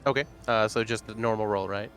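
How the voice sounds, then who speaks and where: A man with a slightly low voice talks casually as a player at a tabletop game. Faint music sits behind him. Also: steady tone, quick pace, fairly bright tone.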